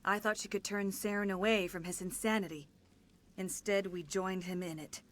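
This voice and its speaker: An actress playing a centuries-old alien disciple in a video game, speaking formally with grave, measured phrasing. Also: female voice, medium pitch, steady tone, a bit bright, close to an everyday voice. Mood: grave, regretful. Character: centuries-old female alien disciple, solemn, remorseful and serene. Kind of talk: video game dramatic acting.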